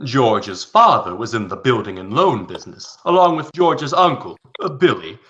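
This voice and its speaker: Animated male radio play narrator. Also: mid-range voice, normal speed, lo-fi sound.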